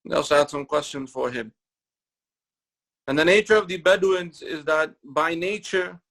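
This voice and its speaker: A man giving a Ramadan lecture in a mosque, speaking in measured, repeated phrases and reciting Quranic Arabic between his English explanations. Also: fairly high pitch, creaky, a bit bright, poor audio. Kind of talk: sermon-style religious lecture.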